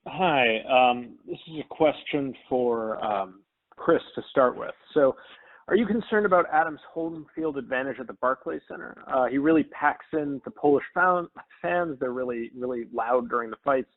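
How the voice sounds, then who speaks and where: A man with a creaky, mid-pitched voice works as a reporter. He asks his questions politely in a press-call style. The sound is squeezed through a phone line. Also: moderate pace. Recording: crackly narrow phone line.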